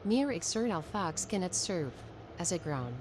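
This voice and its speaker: A woman reading an old-fashioned essay aloud as narration, in formal, measured phrasing. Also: fairly low pitch, big pitch swings, noisy background. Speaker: reader of a self-help text.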